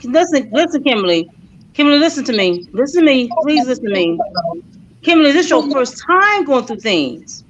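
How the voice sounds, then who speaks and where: A woman's voice: high voice, very animated, brisk, crowd noise. A middle-aged woman in casual group chat, lecturing insistently and repeating herself to drive a point home. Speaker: viewing-party panelist. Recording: slightly dull lo-fi stream audio.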